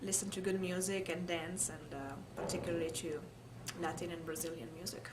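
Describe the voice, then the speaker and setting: A woman's voice: lowish voice, steady tone, fairly quick. A woman from Italy with an Italian accent, thoughtfully recalling her life in an interview. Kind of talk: oral history interview, personal recollection. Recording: clear background noise, room ambience.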